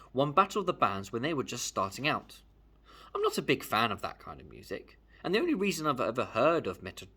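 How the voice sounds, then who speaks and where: A man reading a children's book aloud as a storyteller, in an expressive, emphatic way. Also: mid-range voice, husky. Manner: animated, emphatic. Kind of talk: expressive read-aloud narration, first person.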